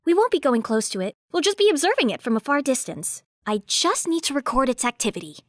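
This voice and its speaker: Woman playing an excitable high-voiced female robot researcher. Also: quick pace, dry recording, studio quality. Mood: chatty, insistent. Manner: excitable, informal. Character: eager, excitable young female robot researcher, shy wallflower. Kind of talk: audio drama acting.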